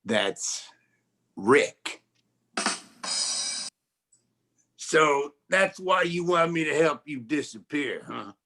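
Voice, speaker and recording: A man's voice: a little high, expressive, slow, sharp tone, a little hiss or hum, exaggerated voice. A man playing a wisecracking, streetwise 1940s private detective in a campy radio noir style. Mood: scheming. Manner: slangy, wry. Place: live community theatre stage performance.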